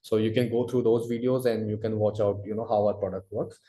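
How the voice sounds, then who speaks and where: A man answering webinar questions as a product expert, explaining in a halting, wordy way full of verbal fillers. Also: lowish voice, flat delivery, warm tone, slight background noise. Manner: halting, wordy, repeats filler phrases "you know". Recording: roomy, echoey webinar audio.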